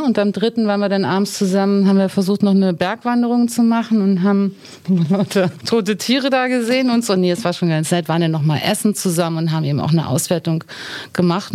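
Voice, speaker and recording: A woman who advises apprentices on going abroad talks in a chatty, informal way. She often ends her sentences with small tag questions. Her voice sits at a middle pitch. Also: dark tone. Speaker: international mobility advisor. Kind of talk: conversational interview.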